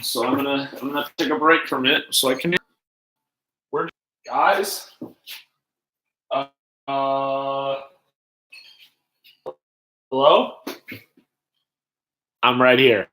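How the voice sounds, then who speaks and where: A man hosting a livestream in casual chat, rambling haltingly and jumping between asides as he talks to viewers. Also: medium pitch, pushed and bright, poor audio. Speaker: livestream host.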